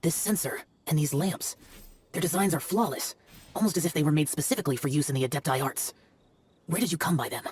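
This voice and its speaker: A man playing a stern, aloof, youthful immortal demon slayer in a video game, with solemn, formal, measured delivery. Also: fairly high pitch, darkish tone, slight background noise, close to an everyday voice. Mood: appraising. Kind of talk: scripted video game dialogue.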